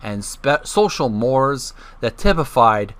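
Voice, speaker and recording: Male gaming vlogger, animated intonation, bright tone, hesitant delivery, casual presenting and read-aloud. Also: mid-range voice, takes it slowly, clean tone. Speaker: host of a video gaming channel. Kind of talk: casual vlog intro and reading aloud from a book.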